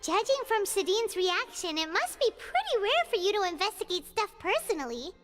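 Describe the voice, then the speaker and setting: A woman voicing a small, childlike fairy companion in a game, squeaky, chatty and full of pushy curiosity. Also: moderate pace. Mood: curious. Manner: excitable, pushy questioning. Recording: background music, reverberant hall sound.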